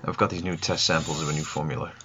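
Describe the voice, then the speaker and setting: A man's voice: a bass voice, steady tone, racing, noisy background. A man playing a caring, hopeful scientist in an audio drama. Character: caring, hopeful male scientist tending sick animals.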